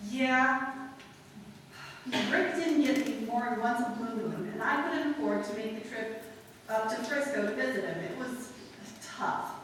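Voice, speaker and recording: A woman's voice: a little high, unhurried, a little hiss or hum, lightly acted. A woman acting a tough, slangy war widow who talks bluntly and impatiently, in a live old-time radio play. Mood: tearful. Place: live stage performance. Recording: echoey hall, mic heard from far.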